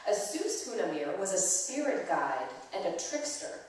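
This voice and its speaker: A woman acts a gentle aunt from biblical times telling an old myth to young children. Her delivery is deliberate and soothing, with animated pitch. The sound is distant and washed in heavy church echo. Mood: reassuring. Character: gentle storytelling aunt Tamar from the Hebrew Bible. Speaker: narrator in a staged myth reading. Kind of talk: dramatic storytelling to children. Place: church performance space. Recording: echoey church hall, far from mic.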